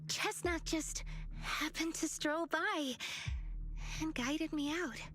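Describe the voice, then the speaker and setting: A woman voicing a gentle, kind young woman in a video game, soft-spoken and trusting. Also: sits high, slow. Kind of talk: game voice acting.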